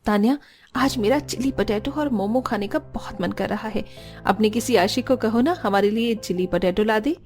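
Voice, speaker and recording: Woman doing a bossy teasing cartoon sister-in-law, high voice, soft music behind. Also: normal speed, natural voice. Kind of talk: Hindi cartoon voice acting.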